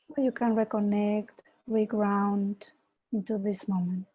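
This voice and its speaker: A woman from Peru, a mindfulness teacher, giving an online talk and gently guiding a meditation over a video call. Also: medium pitch, fairly even intonation, lo-fi sound. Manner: slow, soft guiding voice with gentle invitations. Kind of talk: online presentation and guided meditation.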